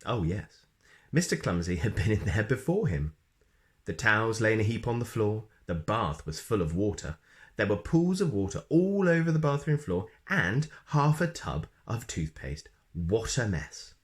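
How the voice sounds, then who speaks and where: A man narrates a children's storybook in a fairly low voice. His intonation is very lively, rising and dropping for playful emphasis. He leaves dramatic pauses between phrases. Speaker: storybook reader.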